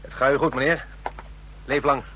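An actor playing a chatty, obliging country stationmaster in a radio drama, solicitous and full of exclamations. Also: male voice, mid-range voice, fairly even intonation, normal speed, sharp tone, lo-fi sound, lightly acted. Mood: chatty, calling out. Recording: faintly noisy old radio recording.

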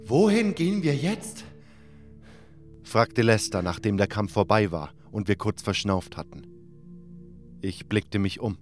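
Guy reading fantasy audio drama narration, kinda low voice, music clearly behind him. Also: fairly even intonation, unhurried. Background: prominent music.

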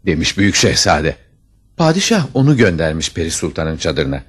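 A man's voice: sits low, sing-song, normal speed, husky. A man narrating a scripted fairy tale as a storyteller, with dramatic expression.

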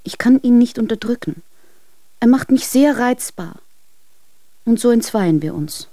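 Devoted, sorrowful woman in love, soft dark timbre, dry close radio drama sound. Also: on the high side, lively intonation, a little slow, dry recording. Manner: intimate, earnest, tender. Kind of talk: German radio drama, literary letter reading.

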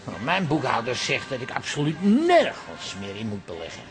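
A man's voice: medium pitch, wildly swooping pitch, moderate pace, soft background music. A man playing a sceptical, hesitant, easily astonished fellow who is wary with money, in a comic radio drama. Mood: fretful. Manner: incredulous, hesitant.

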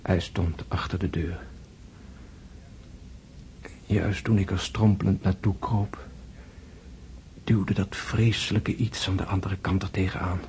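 An actor playing a gravely wounded prince in a radio drama, tender and philosophical, speaking in hushed, halting, weakened tones. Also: male voice, deep voice, steady tone, a little hiss or hum. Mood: haunted, fearful. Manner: hushed, halting, intense delivery, often whispering. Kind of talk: Dutch radio drama acting.

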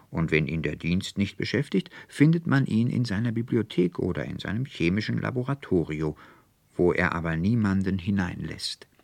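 Man voicing an earnest registrar, low and gravelly. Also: dry recording, exaggerated voice. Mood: confiding. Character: earnest, verbose male registrar, courteous and bureaucratic.

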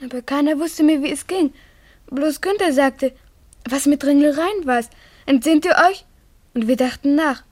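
Woman playing a high-voiced, frightened little girl. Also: darkish tone, no echo, lightly acted. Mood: frightened, excited. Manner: breathless, pleading, haltingly recounting. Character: frightened young schoolgirl, anxious, clinging to her mother. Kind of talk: 1950s German radio drama acting.

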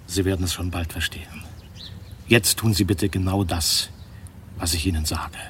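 An actor playing a grave, authoritative yet soothing family doctor in a German radio drama, measured and probing. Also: male voice, low-pitched, fairly even intonation, a bit bright, a little hiss or hum. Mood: firm, soothing.

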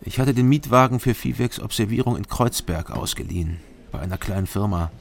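An actor playing a weary, disillusioned East German investigator in a radio crime drama, with terse, sober phrasing. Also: male voice, on the low side, fairly quick, creaky, slight background noise. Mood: sober narrating. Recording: studio drama with music behind.

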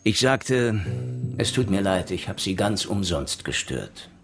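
A man's voice: low voice, big pitch swings, unhurried, faint music behind, exaggerated voice. An actor in a radio crime drama playing a reserved, polite and somewhat hesitant male police inspector who recounts his case. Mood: awkward, polite. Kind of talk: radio crime drama, dialogue and first-person narration.

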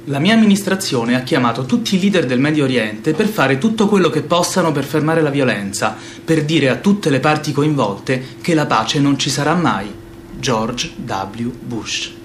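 A man reads a scripted text as a stage performer. His voice is of average pitch, with faint ambient noise behind it. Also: expressive, normal speed. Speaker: stage reader.

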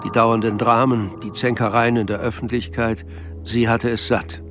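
A man's voice: mid-range voice, flat delivery, normal speed, music in the background. A man reading scripted literary narration as the narrator of a radio drama.

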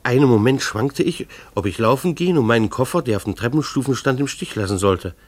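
An actor playing a timid, needy husband turned soap peddler in a radio drama, hesitant and uneasy. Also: male voice, mid-range voice, expressive, a little fast, lightly acted. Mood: wry, uneasy recollection. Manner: hesitant stammering when speaking to others. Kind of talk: radio drama narration and dialogue.

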